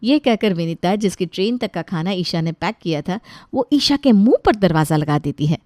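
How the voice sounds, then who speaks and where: A woman's voice: lowish voice, expressive, moderate pace, a little mellow, dry recording. A woman telling a scripted family moral story as its narrator.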